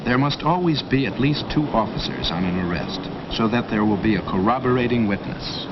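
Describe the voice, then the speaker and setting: A man narrating a 1950s police training film in a formal, measured, authoritative way. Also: mid-range voice, lively intonation. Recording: dull old film soundtrack with loud hiss.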